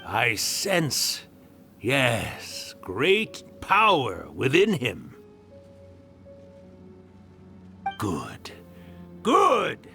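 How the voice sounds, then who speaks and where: A man voicing a mischievous, theatrical male fox spirit in an anime game, with hammy, drawn-out, teasing delivery. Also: mid-range voice, wildly swooping pitch, creaky, sharp tone, soft background music, exaggerated voice. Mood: menacing gloating. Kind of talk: anime video game voice acting.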